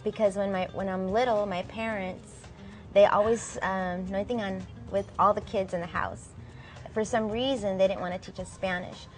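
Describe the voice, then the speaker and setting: Young adult woman, mid pitch, chatty and friendly; faint music under. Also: fairly even intonation, normal speed. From Southern California, USA. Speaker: guest singer. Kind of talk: casual interview answers.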